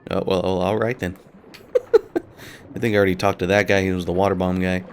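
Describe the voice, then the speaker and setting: A man's voice: sits low, flat delivery, a little fast, darkish tone, a little hiss or hum. A man hosting a let's play, talking casually and rambling while sick and a little nasal. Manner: casual, rambling, laughs often. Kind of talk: gameplay commentary.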